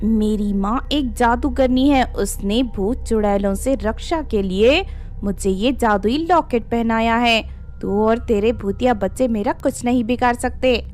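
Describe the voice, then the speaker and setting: A woman plays a bold young heroine in a cartoon horror tale. Her voice is fairly high, and she speaks slowly, firmly and deliberately. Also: a little mellow, faint music behind, natural voice. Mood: defiant. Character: bold young woman, fearless sorceress's daughter.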